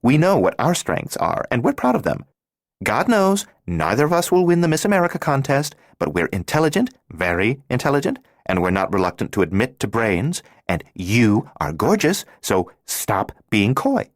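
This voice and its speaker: A man voicing a brash, blunt twelve-year-old girl who talks in loud, emphatic bursts. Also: medium pitch, very animated, normal speed, no room sound, clean studio sound, voice pushed up.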